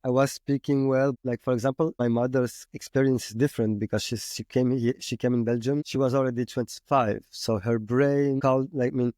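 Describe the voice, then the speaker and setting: A man's voice: mid-range voice, steady tone, fairly quick, hardly any pauses, darkish tone, no echo. A young man from Morocco, talking as a podcast guest in a hesitant way with many restarts. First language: Moroccan Arabic. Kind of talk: casual interview conversation.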